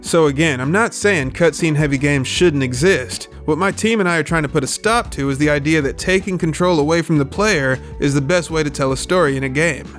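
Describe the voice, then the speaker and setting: A man hosts a scripted video essay in a somewhat high voice. He is opinionated and emphatic, with casual, sweary phrasing. Music plays faintly behind his narration. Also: fairly quick, hardly any pauses, fairly bright tone.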